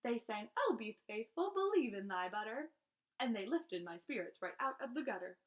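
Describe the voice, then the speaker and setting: A woman's voice: a little high, big pitch swings, moderate pace. A woman reading rhyming children's verse aloud in a theatrical, emphatic teacher's manner, drawing out key phrases.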